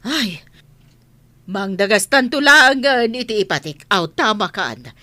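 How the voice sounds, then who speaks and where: A woman's voice: medium pitch, expressive, measured pace, sharp tone, no room sound. An actress playing a reproachful, worried grandmother in a radio drama, speaking emphatically. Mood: scolding. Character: scolding, worried grandmother of a sick child.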